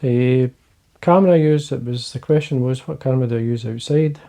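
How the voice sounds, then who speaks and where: A man with a Scottish accent, talking quietly and casually to camera from his fly-tying desk, rambling with fillers and restarts. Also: medium pitch, fairly quick, creaky. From Scotland. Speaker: fly-fishing video host.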